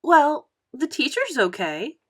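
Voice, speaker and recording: A woman's voice: sits high, very animated, takes it slowly, fairly bright tone, voice pushed up, heavily acted. A woman voicing a wary, wry fourth-grade boy from a storybook, in plain protesting tones. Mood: hesitant.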